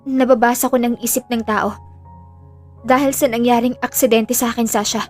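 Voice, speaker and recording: A woman's voice: fairly high pitch, fairly even intonation, normal speed, natural voice. A woman playing an earnest, burdened young woman in an audio drama, confiding in a subdued way with a Filipino accent. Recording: music under the voice.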